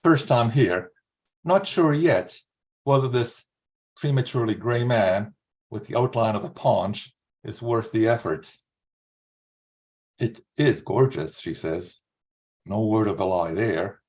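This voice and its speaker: A man in his sixties from Slovakia, a writer speaking at an online literary reading, with an earnest, halting delivery. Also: on the low side, darkish tone. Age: 60s. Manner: halting, repeats words, earnest. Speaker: writer reading own short fiction. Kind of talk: informal spoken introduction and author's reading at an online literary event. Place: remote video call.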